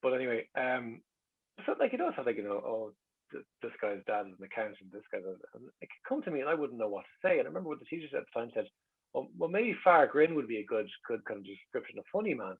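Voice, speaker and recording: A man's voice: mid-range voice, sing-song, fast, husky. A middle-aged Irish man telling casual, rambling anecdotes as an interview guest, wry and self-deprecating, in a slightly lo-fi recording. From Ireland.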